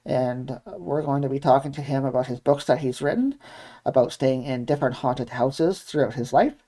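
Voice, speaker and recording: A man's voice: mid-range voice, moderate pace, dark tone. A man with a Canadian accent co-hosting a live podcast in a casual, chatty style. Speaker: podcast co-host and producer. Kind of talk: casual live show chat.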